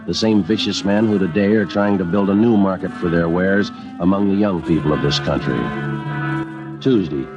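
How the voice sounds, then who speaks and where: An actor playing a calm, terse police detective who narrates his case in a clipped, deadpan radio drama style. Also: low-pitched, natural voice. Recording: dull old radio recording, music underneath.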